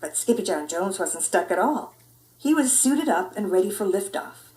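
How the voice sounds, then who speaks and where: A woman narrating a children's story in an expressive read-aloud style. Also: mid-range voice, moderate pace, recorded from a distance. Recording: roomy indoor echo.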